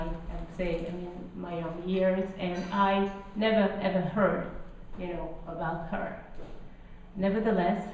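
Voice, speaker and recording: A woman's voice: on the low side, a little mellow, a little hiss or hum. French lady giving a talk in a gallery, lots of um and uh, far off and echoey, bit muffled. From France. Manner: friendly, hesitant, many fillers. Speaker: lecturer. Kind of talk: public lecture. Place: small gallery room with guests. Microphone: handheld mic, far camera. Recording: roomy, distant, dull lo-fi.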